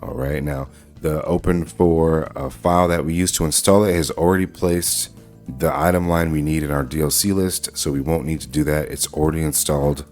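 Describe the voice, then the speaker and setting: A man hosting a casual software walkthrough, talking informally through each step as he goes. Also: extremely low pitch, steady tone, moderate pace, faint music behind. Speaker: tutorial host.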